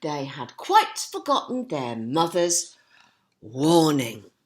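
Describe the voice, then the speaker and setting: A woman's voice: low voice, huge pitch swings, slow. A woman reading a picture book to children, talking to them directly in an encouraging, teacherly way. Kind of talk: animated picture-book read-aloud with asides.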